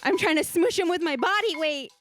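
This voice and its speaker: A woman plays a polite, self-aware adventurer in a fantasy tabletop game. Her high-pitched voice is hesitant, and she repeats herself, easily flustered. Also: brisk, fairly bright tone, faint music behind. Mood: flustered, alarmed.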